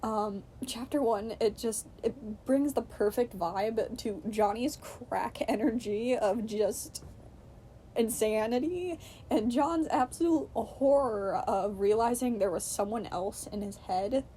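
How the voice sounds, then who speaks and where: A woman's voice: on the high side, slow pace, dark tone, a little hiss or hum. A woman narrating a scripted story as an amateur podfic reader.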